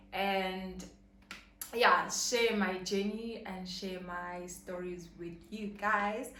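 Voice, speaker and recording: A young woman talks slowly to her camera, earnest and rambling, full of "like" and "you know". Her voice sits mid-pitched and rings in a roomy space with a faint hum behind it. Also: fairly bright tone. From South Africa, KwaZulu-Natal. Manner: rambling, filler-heavy, earnest. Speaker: vlogger. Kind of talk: casual chat to camera.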